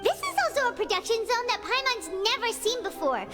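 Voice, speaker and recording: A woman's voice: very high voice, sing-song, a little fast. A woman voicing a small childlike fairy companion in a video game, wide-eyed, curious and exclamatory. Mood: amazed. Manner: wide-eyed, exclamatory, refers to self in third person. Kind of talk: video game character acting. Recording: game music playing behind.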